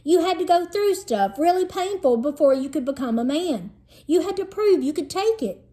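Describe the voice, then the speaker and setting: A woman's voice: high-pitched, animated, normal speed, mellow, pitched above the performer's own voice. A woman reading a storybook as a cocky, pushy fourth-grade boy with slangy, tough talk. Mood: pushy, insistent.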